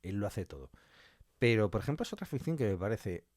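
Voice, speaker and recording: A man's voice: fairly low pitch, big pitch swings, brisk, darkish tone, no echo, studio quality. A man with a Spanish accent from Spain chatting casually and colloquially as a podcast guest, often repeating phrases for emphasis. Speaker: interview guest, audio fiction scriptwriter.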